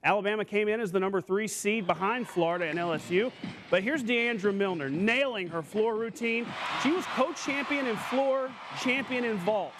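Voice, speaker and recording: High-voiced male sports anchor. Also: moderate pace, background noise. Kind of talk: scripted TV sportscast narration over highlights.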